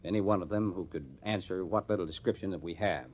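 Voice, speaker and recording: Low-voiced hard-nosed police detective. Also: male voice, fast. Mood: grimly determined. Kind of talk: old-time radio crime drama acting. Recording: dull lo-fi old radio recording.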